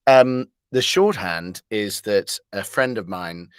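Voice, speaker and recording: Male interview guest, slightly low voice, dry close sound, hesitant and jovial, casual storytelling. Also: expressive, takes it slowly, clean tone, no room sound.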